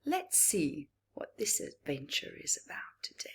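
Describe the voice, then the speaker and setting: A woman reading a picture book aloud to children, deliberate and expressive, with long pauses and small asides. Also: mid-range voice, whispered. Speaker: children's storytime reader.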